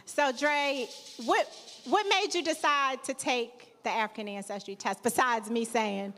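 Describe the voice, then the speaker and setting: A woman's voice: a little high, measured pace, fairly bright tone. A woman hosting a live event in a theater, chatting informally and playfully with the audience. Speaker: event host. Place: theater stage. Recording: live hall with long reverb.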